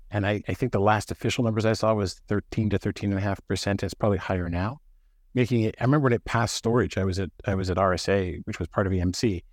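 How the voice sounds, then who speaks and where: Guy telling security war stories, kinda low voice, dry sound. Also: a little mellow, no echo. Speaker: cybersecurity executive guest. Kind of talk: podcast conversation.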